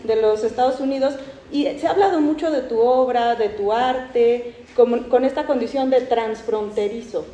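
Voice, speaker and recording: Mellow-voiced woman moderating, roomy room. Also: fairly high pitch, normal speed. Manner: probing, discursive questions with self-corrections. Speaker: curator and moderator. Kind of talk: moderating a book presentation. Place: cultural institute event room. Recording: roomy, dull-toned event recording with faint background noise.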